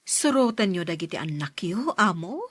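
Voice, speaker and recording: A woman's voice: lowish voice, big pitch swings, normal speed, husky, dry recording, studio quality. A woman playing an obliging, inquisitive worker's wife and mother in an audio drama, with a deferential manner.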